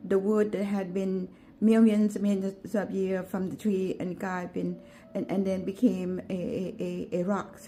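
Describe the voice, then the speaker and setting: A woman speaks English with a Thai accent, her first language being Thai. She talks gently and haltingly, often restarting, as she films a home garden tour on a handheld camera. Faint music plays underneath. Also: medium pitch, vocal fry. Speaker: gardening vlogger. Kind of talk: unscripted walking tour vlog.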